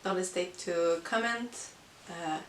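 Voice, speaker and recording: A woman who is not a native English speaker, chatting casually about books as a vlogger in a halting, hesitant way. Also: lowish voice. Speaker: book vlogger. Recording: roomy, distant mic with hiss.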